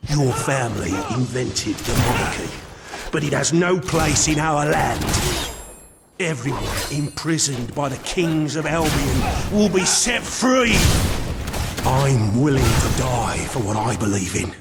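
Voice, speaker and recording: A man's voice: medium pitch, lively intonation, measured pace, vocal fry. A man voicing a vengeful, fanatical escaped prisoner turned assassin in a video game, speaking in a theatrical, declamatory way. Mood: furious, defiant. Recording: long hall reverb under loud music.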